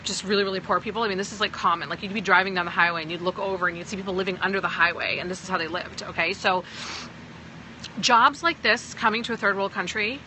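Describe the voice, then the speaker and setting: A woman's voice: medium pitch, steady tone, fast talker, sharp tone, slight background noise, low-quality recording. A woman from Pakistan who sounds American, talking to viewers on a phone livestream as a sales team leader, emphatic and often checking in with "okay?". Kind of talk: livestream talk answering viewers.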